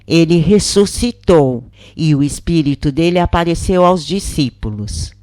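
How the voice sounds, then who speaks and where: Woman narrator as regretful Brazilian mother, confessional, questioning, mellow, swooping pitch, storybook dialogue. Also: animated, a little slow, no room sound.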